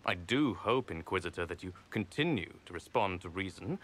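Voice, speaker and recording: A man's voice: low voice, animated, moderate pace, fairly bright tone. An actor playing a smug, condescending nobleman villain in a video game, speaking in a pompous, formal, patronizing way. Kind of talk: video game character acting.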